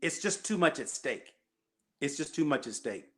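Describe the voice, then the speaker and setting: A man's voice: fairly high pitch, very animated, moderate pace. A man hosting a call-in show, talking emphatically and repeating his key points. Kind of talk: casual call-in chat.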